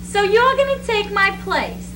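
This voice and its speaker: Woman acting a very high-pitched Depression-era stage woman. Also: animated, a little slow. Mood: pointed. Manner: projected, dramatic stage delivery. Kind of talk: theatrical readers theatre acting. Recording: echoey, noisy amateur stage recording with hum.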